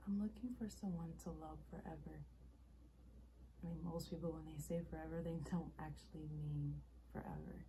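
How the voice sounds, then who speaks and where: A woman performing a dramatic monologue as an earnest, ageless young woman making an intimate, halting confession to her lover. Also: deep voice, steady tone, noisy background, natural voice. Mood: hushed, confessional.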